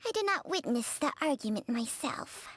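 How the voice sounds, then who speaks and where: A woman voicing a matter-of-fact female companion who speaks slowly, in a video game. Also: high voice, sing-song, a little hiss or hum. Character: soft-spoken female companion to a doctor.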